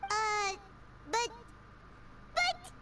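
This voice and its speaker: A woman voicing a tiny, childlike fairy companion in a video game, squeaky, naive, earnest and excitable. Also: very slow, heavily acted. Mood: hesitant. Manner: questioning, excitable, speaks of self by name. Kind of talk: video game character acting. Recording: noisy game capture.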